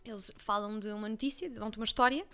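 A woman's voice: medium pitch, faint music behind. A young adult woman journalist with a Portuguese accent from Leiria, talking animatedly in an interview with frequent restarts. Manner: fast, animated, many restarts and fillers. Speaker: journalist guest. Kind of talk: conversational interview.

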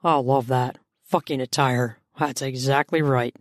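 A woman plays a controlling, teasing man in an erotic audiobook. The voice drops low into a slow, strained murmur, close and dry. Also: fairly even intonation, no room sound. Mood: aroused, assured. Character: dominant, teasing male lover, controlled and aroused.

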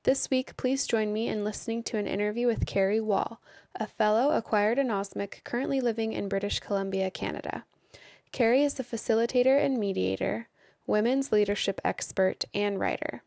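A woman's voice: medium pitch, fairly quick, creaky, darkish tone, no room sound, studio quality. A woman from Idaho hosting a podcast interview, asking questions in an upbeat, encouraging way. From United States, southern Idaho. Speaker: podcast host.

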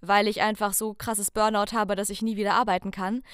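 A woman's voice: medium pitch, level tone, fairly quick, clean tone, dry recording, studio quality. A woman co-hosting a casual podcast, talking playfully and theatrically and addressing listeners directly. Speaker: podcast co-host.

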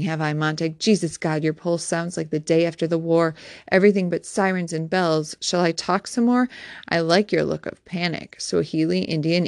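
Smug male fire captain, read by a woman, pompous storybook villain fond of quotations, low creaky voice. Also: a little mellow, dry recording, clean studio sound. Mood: gloating. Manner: theatrical, pompous, mocking. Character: smug, taunting fire captain, a man who loves quoting.

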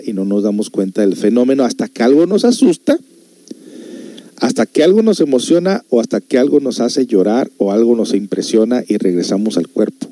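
A man's voice: lowish voice, warm tone, dry recording. A man hosting a show, talking casually to listeners in a musing, conversational way with rhetorical questions. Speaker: host of a music and reflection show.

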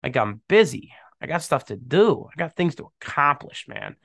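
A man's voice: fairly high pitch, sing-song, moderate pace, vocal fry, a bit bright, no room sound. A young man hosting a podcast, talking in a rambling, slangy way and laughing often, with a stuffed-up nose from a cold. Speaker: podcast host. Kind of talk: casual solo chat.

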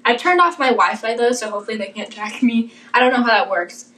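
A woman's voice: on the high side, lively intonation, quick pace, fairly bright tone. A young woman co-hosting a casual podcast, bubbly and theatrical. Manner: bubbly, theatrical, sings snippets. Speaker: podcast co-host. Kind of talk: casual chat.

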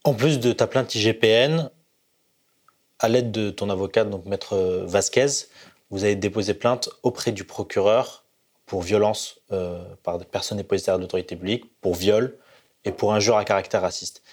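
Guy interviewing, lowish voice, calm direct questions. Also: normal speed.

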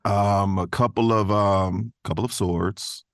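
A man's voice: on the low side, steady tone, takes it slowly, dry recording, clean studio sound. A man chatting casually as a podcast guest, easygoing and thinking aloud as he goes. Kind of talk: casual chat.

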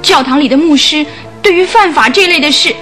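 A tormented, secretive wife in a radio play. Her voice is very high and plaintive, heavy with anxious sighs, with music in the recording. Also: a little fast, fairly bright tone. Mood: resigned. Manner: plaintive, sighing. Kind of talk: radio drama acting.

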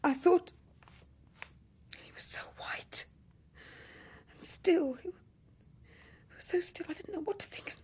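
An actress playing an anxious, fretful British housewife and mother in a radio drama, speaking in agitated, halting phrases that drop into whispers. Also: very high-pitched, a little mellow. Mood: frightened.

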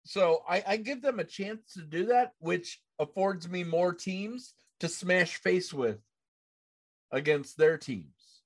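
Slow-talking, higher-voiced man hosting a podcast. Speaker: podcast host. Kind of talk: casual gaming podcast chat.

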